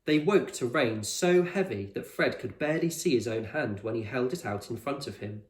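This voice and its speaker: A man's voice: mid-range voice, lively intonation, normal speed. Man reading a storybook, echoey room. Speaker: teacher reading a children's novel aloud. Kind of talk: expressive storybook narration.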